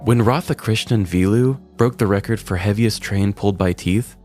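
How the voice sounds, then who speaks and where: A man's voice: on the low side, normal speed, a little mellow. A man narrating a scripted comedic story as a podcast host, in a wry, mock-serious manner. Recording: music bed behind the voice. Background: background music.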